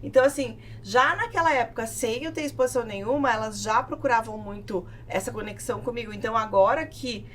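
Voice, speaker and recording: A woman with a southern Brazilian accent telling stories in an animated, casual podcast conversation, acting out what people said. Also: on the high side, fast talker, hardly any pauses, slight background noise. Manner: animated storytelling, acts out quoted remarks. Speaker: podcast guest, business owner.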